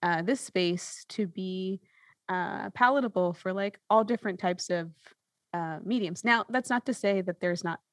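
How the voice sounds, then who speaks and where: A woman's voice: mid-range voice, unhurried, no room sound, clean studio sound. A woman hosting a podcast in a casual, reflective conversational style, often checking in with a questioning tag as she explains. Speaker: podcast host.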